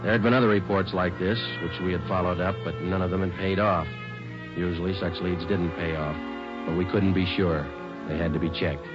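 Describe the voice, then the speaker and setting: A terse police sergeant played by a male actor in an old radio drama. His deep voice moves quickly in clipped, deadpan phrases. The sound is that of a muffled vintage broadcast. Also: music in the background, natural voice. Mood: flat, factual. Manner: deadpan, clipped, matter-of-fact. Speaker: actor and narrator.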